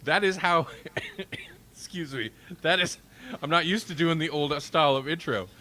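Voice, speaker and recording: A man's voice: a little high, normal speed, bright, forceful tone. A man hosting a casual live-stream podcast, rambling along in a joking, self-deprecating way. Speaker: podcast host.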